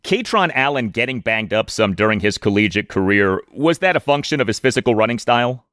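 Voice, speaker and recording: A man's voice: lowish voice, expressive, fairly quick, fairly bright tone, no room sound. A man hosting a sports podcast, introducing guests and asking questions. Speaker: podcast host and interviewer.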